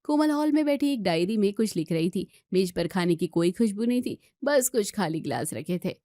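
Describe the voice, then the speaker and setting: A woman narrates a scripted domestic story. Her voice sits at a medium pitch, and the recording is dry and close. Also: big pitch swings, moderate pace, mellow, no echo.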